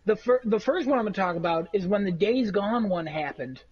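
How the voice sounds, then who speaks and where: A man with a nasal voice, rambling through animated stories in a casual chat among friends. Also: sits high, brisk, breathy edge, dull audio. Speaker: podcast co-host.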